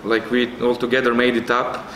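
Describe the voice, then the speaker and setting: A man shares a casual story in a halting way. His voice is medium in pitch, with room echo and faint noise behind it. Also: completely flat delivery, fairly bright tone. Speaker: youth network member. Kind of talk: casual anecdote.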